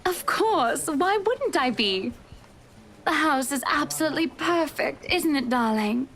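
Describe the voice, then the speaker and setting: Wealthy gracious lady, high voice, poised, sweetly imperious, visual novel game. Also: expressive, measured pace, fairly bright tone, full character voice. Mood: assured, gracious. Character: wealthy, gracious, self-assured married woman buying a mansion.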